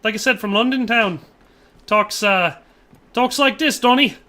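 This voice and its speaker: A man's voice: high-pitched, measured pace, bright, forceful tone, pitched above the performer's own voice. A man doing a cheeky Cockney bloke. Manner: exaggerated cheeky Cockney put-on.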